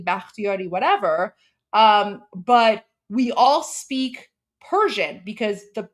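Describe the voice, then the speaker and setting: A woman's voice: fairly high pitch, fairly bright tone. Opinionated Iranian-born woman streamer. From Iran. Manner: heated, opinionated, talks to viewers as "guys". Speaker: co-host of a live stream. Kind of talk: casual livestream commentary.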